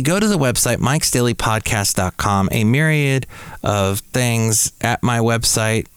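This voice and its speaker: A man's voice: medium pitch, clear, resonant tone, no room sound. A middle-aged man hosting a podcast, rambling casually and playfully interrupting himself. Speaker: podcast host. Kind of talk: casual solo podcast commentary.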